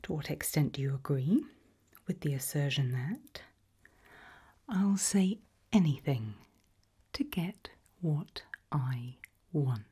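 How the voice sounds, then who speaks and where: A woman in an ASMR roleplay as a politely sinister HR interviewer, whispering and murmuring softly in a deliberate, measured way with pauses. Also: animated. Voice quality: breathy whisper with soft low murmurs. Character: calm, politely sinister HR interviewer at an evil organisation.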